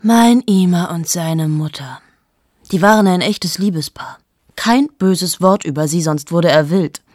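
A husky, cynical journalist in her thirties. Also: on the low side, unhurried, a little mellow, no echo, studio quality. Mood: sardonic. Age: 30s. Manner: sardonic, confiding asides to the listener. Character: cynical 38-year-old woman journalist, sharp-tongued, mocking. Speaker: journalist in a radio play monologue. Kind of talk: radio drama monologue.

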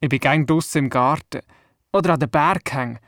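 A man's voice: a little high, a little fast, fairly bright tone, no echo, clean studio sound. An actor with a Swiss German accent playing a curious, imaginative grandson who narrates his adventures in a radio play. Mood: storytelling. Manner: inquisitive, childlike storytelling. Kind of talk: scripted first-person radio play narration.

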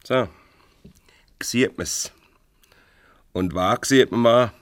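An actor playing a stern, paternal older boss in a radio play, reproachful and patronising, with a Swiss German accent. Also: male voice, medium pitch, drawn-out words, no echo, studio quality. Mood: brooding, irritated.

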